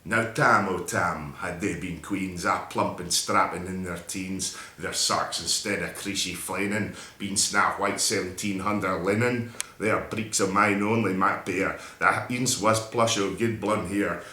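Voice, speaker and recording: A man's voice: low-pitched, fairly even intonation, unhurried, pushed and bright, reverberant, a little hiss or hum. A man with a Scottish accent giving a theatrical, emphatic recitation of a narrative poem in broad Scots dialect. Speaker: poetry reader.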